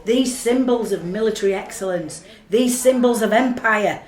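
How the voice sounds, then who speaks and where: A woman's voice: medium pitch, normal speed, a little mellow, natural voice. A woman playing a declamatory, mocking chronicler in a radio play. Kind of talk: dramatic radio play narration. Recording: roomy, echoing sound.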